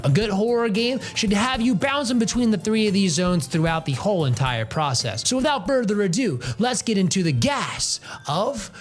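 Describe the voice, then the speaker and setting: A man hosting a casual video game review, talking conversationally with ad-libbed asides and punchy exclamations. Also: high-pitched.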